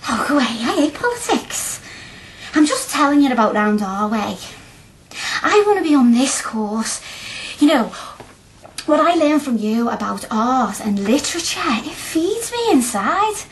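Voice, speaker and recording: A young working-class woman in a radio drama. Her voice sits on the high side. She talks freely and bluntly, in an earnest, colloquial way. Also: very animated, a little slow, exaggerated voice, rough recording. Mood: defiant, earnest. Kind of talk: radio play acting.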